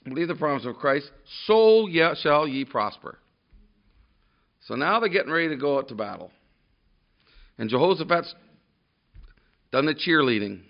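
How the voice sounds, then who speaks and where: A man preaching a sermon to a congregation, loud and emphatic, often restarting his sentences. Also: fairly high pitch, lively intonation, normal speed, long pauses. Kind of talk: sermon with scripture reading. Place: church room with a congregation. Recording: dull lo-fi sermon recording.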